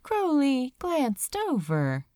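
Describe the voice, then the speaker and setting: A woman narrating a scripted story in a measured, expressive storytelling manner. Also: high voice, no echo, clean studio sound. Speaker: podfic narrator.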